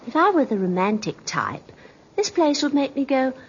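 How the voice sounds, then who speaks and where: A woman's voice: high-pitched, very animated, moderate pace. A teasing, coquettish young mistress in an old radio play. Her delivery swoops wildly up and down, playful and sure of herself. The recording is muffled and faintly hissy. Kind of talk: 1950s radio drama acting.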